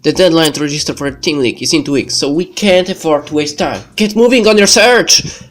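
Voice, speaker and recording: Man reading as brisk, bossy young woman gamer. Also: fairly high pitch, fairly bright tone, voice pushed up, lightly acted. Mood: brisk, urging. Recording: music behind the voice.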